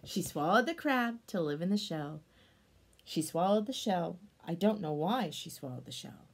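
A woman in her thirties reading a picture book aloud to children, expressive, with exclamations and chatty explanatory asides. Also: fairly low pitch, moderate pace, breathy edge.

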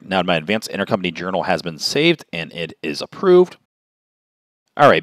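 A man with a deep voice walks through software step by step in an informal, explanatory manner. His intonation moves around in a lively way, and the sound is dry and close. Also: dry recording. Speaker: software tutorial presenter. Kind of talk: informal step-by-step screen tutorial narration.

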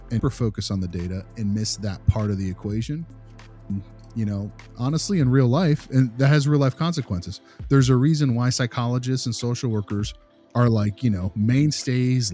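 A man with a fairly low voice speaks in a casual podcast discussion. He is emphatic and halting, restarting his sentences as he goes, over faint music. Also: warm tone. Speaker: podcast co-host, behavior analyst.

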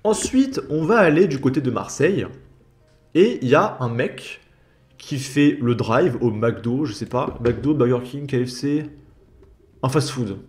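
A man's voice: mid-range voice, lively intonation, a little slow, a little mellow. A man hosting a commentary channel, talking over video clips in a sarcastic, mocking voice-over.

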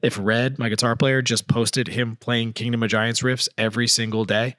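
A man in his thirties as a podcast guest, telling stories animatedly with restarts and trailing phrases, at a boom mic in a studio. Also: flat delivery, moderate pace, fairly bright tone, no echo. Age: 30s. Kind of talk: casual podcast chat. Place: dim studio set on a couch. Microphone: boom mic, headphones.

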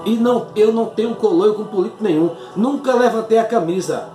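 A man's voice: high-pitched, a little fast, warm tone, reverberant, faint music behind. Older Northeastern Brazilian man, pleading. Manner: earnest, pleading, gestures broadly with both hands. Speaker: doctor. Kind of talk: impassioned direct-to-camera appeal. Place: small home office, indoors.

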